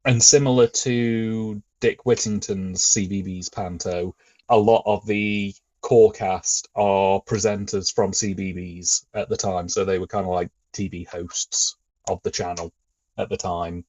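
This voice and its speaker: A man co-hosting a podcast, casually discussing and explaining his opinions in a measured, careful way. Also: deep voice, steady tone, a little mellow, no echo. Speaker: podcast co-host.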